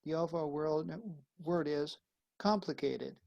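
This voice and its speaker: Man, priest, fairly high voice, gravelly, video call. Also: steady tone, unhurried, darkish tone, highs cut off, slight background noise. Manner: reads a letter aloud, then hosts and thanks guests. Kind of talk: live-streamed sermon conversation.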